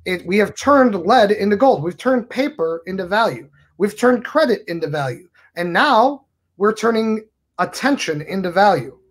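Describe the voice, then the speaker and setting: A man's voice: high voice, lively intonation, moderate pace. A middle-aged man, a magician guest, talking in an expansive, philosophical way during a casual podcast chat over a video call. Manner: expansive, philosophical, gestures a lot.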